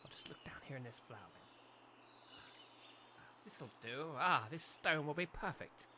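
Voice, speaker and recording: A man's voice: fairly high pitch, animated, moderate pace, pushed and bright, slight background noise. A man playing a pompous, scheming village major with a clipped, theatrical delivery in a comedy audio drama. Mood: scheming mutter.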